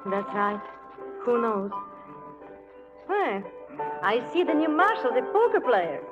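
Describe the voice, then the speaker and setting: Casting: sassy frontier saloon singer, high-pitched and defiant, muffled vintage radio sound with music. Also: female voice, big pitch swings, slow. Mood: sly, casual. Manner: saucy, challenging. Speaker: actor. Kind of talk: 1940s Western radio drama acting.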